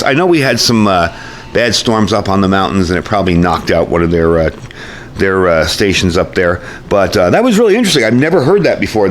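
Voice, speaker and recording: A man giving a casual, chatty product review with informal, folksy phrasing. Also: on the low side. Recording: clear background noise.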